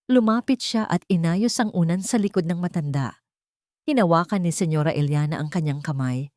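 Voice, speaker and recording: A woman's voice: fairly low pitch, mellow, no echo, clean studio sound. A woman reading a scripted, dramatic audio story as its storyteller.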